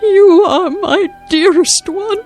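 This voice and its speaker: An actress playing a gentle, devoted elderly wife, tender, wistful and emotional, in a vintage drama. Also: female voice, extremely high pitch, takes it slowly, faint music behind. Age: older. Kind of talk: vintage television drama acting.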